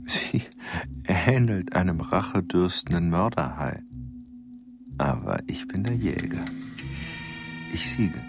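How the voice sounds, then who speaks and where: Very deep, gravelly cold killer. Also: male voice, full character voice. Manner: terse, clipped phrases. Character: cold, contemptuous male would-be killer of his sweetheart. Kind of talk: first-person radio play monologue. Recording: music under the voice.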